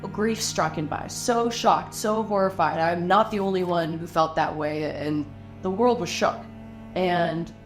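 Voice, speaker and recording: A woman from Lebanon talks about her paintings in a documentary interview, pausing and restarting as she works through her thoughts. Her voice sits a little on the low side. She is a working artist. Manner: reflective, halting, with frequent restarts.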